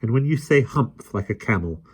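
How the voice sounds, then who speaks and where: A man's voice: medium pitch, lively intonation, normal speed, slightly rough, voice pushed up. A man voicing an earnest young boy who speaks in an eager, pleading way.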